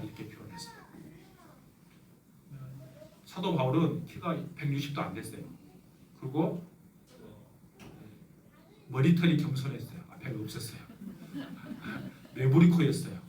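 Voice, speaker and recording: Echoey pastor with a Jeolla lilt. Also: male voice, mid-range voice, darkish tone, distant mic, slight background noise. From Yeosu, South Korea. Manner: conversational storytelling with light humor. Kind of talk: sermon. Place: church sanctuary. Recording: echoey church hall from afar.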